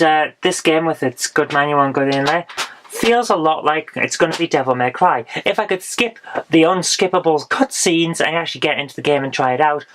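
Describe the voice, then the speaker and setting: Hoarse woman reviewing retro games. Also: deep voice, a bit bright, slight background noise. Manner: chatty and frank, with dry asides. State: hoarse, losing her voice. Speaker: retro game vlogger. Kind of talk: casual game haul review.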